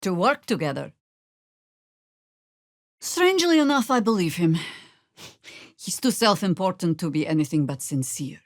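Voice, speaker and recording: A veteran druid fighter for a fantasy video game. Her voice sits fairly low for a woman and is recorded dry and close. She sounds sardonic and commanding, with a theatrical weight to her phrasing. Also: very animated, normal speed, long pauses, no room sound. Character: seasoned, sardonic female druid warrior and veteran adventurer.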